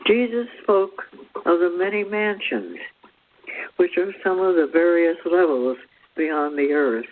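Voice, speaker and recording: A man presenting a spiritual teaching as a read-out talk, with measured, deliberate phrasing in short pieces. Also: high-pitched, a little hiss or hum. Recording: thin phone-like radio sound.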